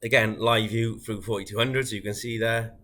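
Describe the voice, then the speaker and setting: A man giving an informal, methodical, unscripted software walkthrough as a product demonstrator. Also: on the low side, steady tone, brisk.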